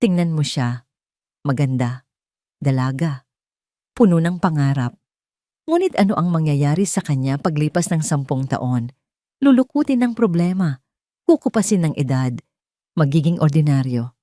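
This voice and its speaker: A woman voicing a calm, deranged male kidnapper in a storybook, sweetly menacing and deliberate, speaking with obsessive conviction. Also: deep voice, no echo, studio quality. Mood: grandiose conviction. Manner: slow, deliberate, full of conviction.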